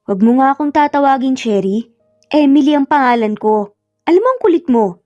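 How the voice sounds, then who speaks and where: A woman's voice: sits high, lively intonation, a little fast, no echo. A Filipino-accented woman voicing a flustered, easily annoyed young bride in a romance story. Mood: annoyed, scolding. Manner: scolding, indignant. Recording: slightly muffled, music bed underneath.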